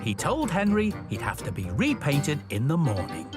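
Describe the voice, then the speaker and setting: Animated male children's storytime presenter over music. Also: a little high, moderate pace. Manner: animated, addresses the listener directly. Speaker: children's storytime host, station worker character. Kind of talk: children's storytelling, scripted presenting to young viewers. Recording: music behind the voice. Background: background music.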